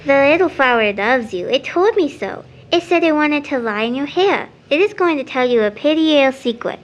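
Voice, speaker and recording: A woman's voice: high voice, fairly bright tone, heavily acted. A female performer playing a sweet, eager little girl in an audio drama, earnest and childlike. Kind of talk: stage play audio drama acting.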